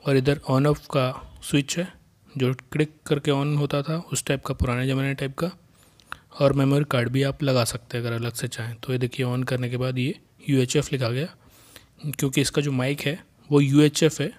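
A man's voice: medium pitch, flat delivery, moderate pace, a little mellow. A man giving a casual, plain-spoken product review and explaining as he goes.

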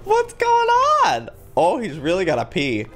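A man in his twenties giving playful gameplay commentary, excitable, with exaggerated reactions. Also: on the high side, unhurried, over music. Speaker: gaming video host.

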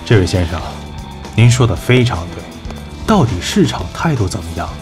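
A man playing a cool, assured agency executive in an audio drama, measured and persuasive. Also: mid-range voice, sing-song, music in the background, natural voice. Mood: cool, businesslike. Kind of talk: Chinese audio drama acting.

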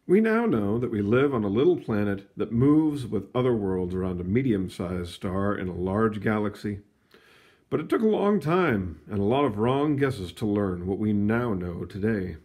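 A man reading a children's science book aloud in an expressive storytelling manner, stretching words for emphasis, with casual asides. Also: on the low side. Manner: animated, storytelling delivery with drawn-out emphasis. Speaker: read-aloud reader.

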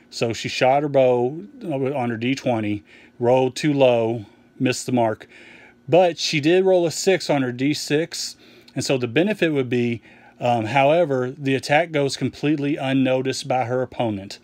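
A man explaining a tabletop game's core rules in a casual walkthrough, relaxed with frequent ums and restarts, recorded over an open rulebook on a table. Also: mid-range voice, no room sound. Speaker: game publisher explaining rules.

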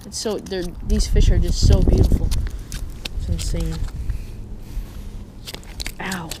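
Casting: young male angler vlogger, slangy and exclamatory, action camera audio with clear outdoor noise. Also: high-pitched, fairly even intonation, takes it slowly. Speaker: fishing vlogger. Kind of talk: casual excited chat while fishing. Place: outdoors on a rocky reservoir shore. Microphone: body-worn action camera.

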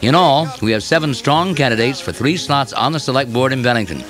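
A man delivers a scripted radio commentary in a mid-pitched voice. He is emphatic and opinionated, in the manner of a local news reporter. Soft music runs beneath the broadcast. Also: expressive, fairly quick.

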